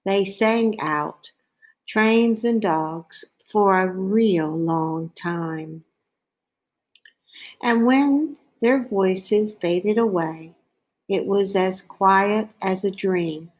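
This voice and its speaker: A woman reading a children's picture book aloud as a storyteller, in a deliberate way. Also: mid-range voice, darkish tone.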